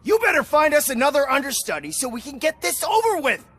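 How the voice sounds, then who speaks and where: Petulant celebrity man, game cutscene: very high, bright, brash, dismissive. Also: sing-song, a little fast, exaggerated voice. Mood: exasperated. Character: arrogant, petulant male TV commercial star. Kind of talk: video game cutscene acting.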